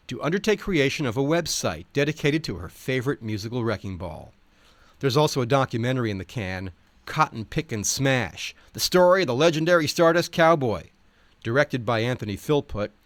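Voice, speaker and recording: A man delivers scripted narration with a wry storyteller's touch. His mid-range voice moves around a great deal in pitch and is recorded very dry, with no room sound. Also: no room sound. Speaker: audiobook reader. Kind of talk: scripted nonfiction narration with acted quotes.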